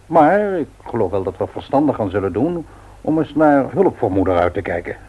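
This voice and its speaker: A man's voice: mid-range voice, very animated, brisk, husky. An actor playing a grumbling, teasing old husband and grandfather in a radio play, muttering and repeating himself. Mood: calm, reassuring. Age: older. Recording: dull old radio recording.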